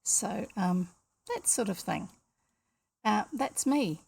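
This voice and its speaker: Older Kiwi woman, husky voice, chatty bedtime-story reader who rambles. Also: medium pitch, lively intonation, takes it slowly, a little mellow. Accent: New Zealand. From New Zealand. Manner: chatty, rambling, interrupts herself to explain. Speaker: storyteller reading books aloud. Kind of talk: casual read-aloud with chatty asides. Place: home desk with a computer.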